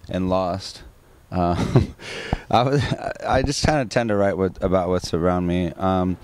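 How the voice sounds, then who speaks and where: A man's voice: low voice, darkish tone, a little hiss or hum. A man, singer of a rock band, answering interview questions in a rambling, casual way with many restarts and fillers.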